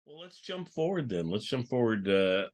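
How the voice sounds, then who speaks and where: A man's voice: mid-range voice, big pitch swings, normal speed. A man moderating a casual enthusiast panel discussion in conversational, informal speech.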